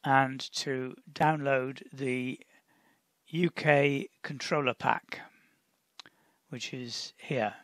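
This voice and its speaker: A man narrating a step-by-step software tutorial in a deliberate, instructional way, often pausing mid-sentence. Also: medium pitch, steady tone, dry recording, clean studio sound. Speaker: tutorial presenter.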